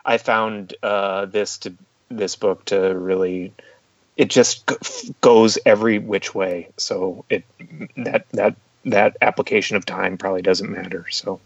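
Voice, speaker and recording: A man's voice: lowish voice, fairly even intonation, measured pace, creaky, no room sound, muffled recording. A man in a book club discussion, answering in a hesitant, tentative way. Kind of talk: casual discussion.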